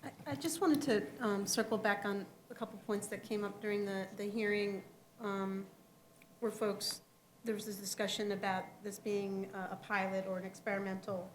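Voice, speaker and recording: A slightly hoarse woman commissioner thinks aloud at a public planning meeting, circling back and restarting her sentences. Her voice carries the echo of a large meeting hall. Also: medium pitch, flat delivery, normal speed, slight background noise. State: losing her voice.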